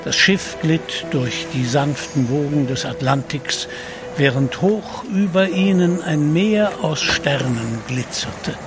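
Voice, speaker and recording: A man's voice: a little high, slow pace. A man narrating a scripted sea adventure audio drama as its storyteller. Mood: storytelling. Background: music behind the voice.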